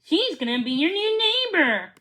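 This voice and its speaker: A woman voicing a kind, approving mother from a children's storybook, measured and encouraging. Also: very high-pitched, very animated, pushed and bright, pitched above the performer's own voice, heavily acted. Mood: pleased.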